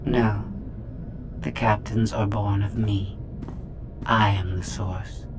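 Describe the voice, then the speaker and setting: An actress playing a cold, insistent female droid who speaks in clipped, halting, machine-like phrases for a video game. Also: rumbling low, fairly even intonation, noisy background. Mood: defiant, insistent.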